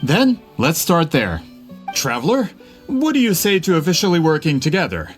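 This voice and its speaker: An earnest young male scholar from an anime game. His voice sits fairly high and moves expressively while staying courteous and formal. Soft music plays underneath. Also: huge pitch swings. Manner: polite, formal, measured.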